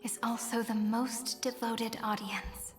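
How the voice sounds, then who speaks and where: An actress voicing a gentle, wistful young woman who tells of memories in soft, breathy, poetic lines for a video game. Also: on the high side, flat delivery, slow. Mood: wistful, revealing. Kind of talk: scripted video game drama. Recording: long echoing reverb, music behind.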